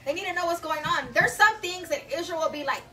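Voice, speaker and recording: A woman's voice: very high-pitched, quick pace, distant mic, over loud music. A woman, chatting casually and greeting viewers by name while she cooks in her home kitchen, recorded on a phone a few steps away. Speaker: vlog host cooking live. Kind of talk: casual live-stream chat. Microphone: phone camera a few steps away.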